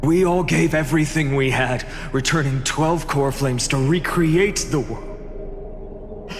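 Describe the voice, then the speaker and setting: An actor voicing a grave, weary male companion in a game cutscene, speaking quietly and measured. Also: a little high, in a large hall, a little hiss or hum. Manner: quiet, measured, half-whispered delivery.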